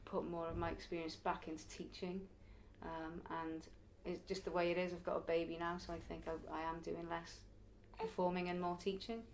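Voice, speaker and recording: A woman's voice: lowish voice, level tone, normal speed, hardly any pauses, slight background noise, low-quality recording. A woman in her early forties, a circus performer and youth circus teacher, answering interview questions in a chatty, reflective way. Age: early 40s. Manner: chatty, reflective, laughs now and then.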